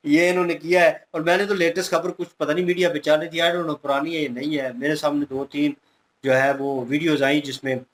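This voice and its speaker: A man's voice: a little high, little pitch movement, a little fast. A man giving casual, conversational reaction commentary as a video host, explaining things with everyday examples.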